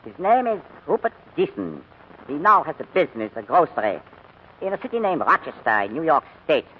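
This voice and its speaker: Coldly menacing German major, old radio. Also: male voice, a little high, big pitch swings, brisk. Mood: guarded, businesslike. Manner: clipped, coolly menacing, formal. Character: cold, menacing German army major interrogator. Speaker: actor in a radio drama. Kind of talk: 1940s radio drama acting. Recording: dull, noisy 1940s radio recording.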